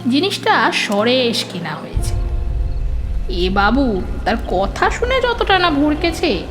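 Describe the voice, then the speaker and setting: A woman narrator reading a scripted horror story in an expressive, suspenseful, dramatic storytelling manner. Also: high voice, slow, over music.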